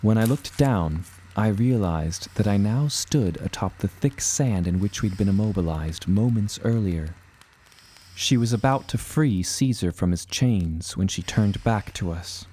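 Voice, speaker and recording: A man's voice: fairly low pitch, lively intonation, measured pace, dark tone, slightly rough. An actor playing an earnest young male adventurer, narrating his journey in solemn, old-fashioned storytelling. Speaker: first-person narrator. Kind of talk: scripted dramatic storytelling with old-fashioned diction.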